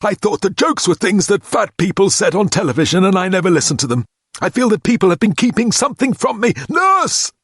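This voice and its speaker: A man reading aloud and voicing a pompous, excitable male hospital director who barks imperious demands. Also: quick pace, slightly rough, no room sound, studio quality. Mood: astounded. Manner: imperious, shrill when excited.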